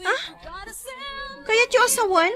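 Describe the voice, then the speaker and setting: Woman actor as nagging daughter, high-pitched, forceful bright tone, Ilocano accent, comedy drama. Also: slow, faint music behind, heavily acted. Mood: exasperated. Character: outspoken grown daughter, exasperated with family.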